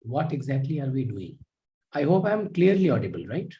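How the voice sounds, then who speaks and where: A man teaching an online history class on a video call, reading out students' chat messages with many pauses and fillers. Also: medium pitch, very animated, mellow.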